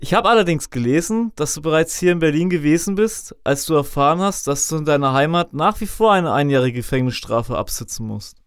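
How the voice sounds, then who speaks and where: A man conducting an interview, asking formal questions in a polite, measured way. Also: a little high, lively intonation, smooth, dry recording, studio quality.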